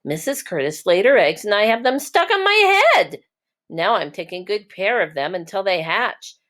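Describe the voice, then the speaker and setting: A woman's voice: mid-range voice, big pitch swings, normal speed, a bit bright. A woman voicing proud, contented father fish in a children's storybook, with a cheerful, satisfied manner. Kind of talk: children's storybook read-aloud.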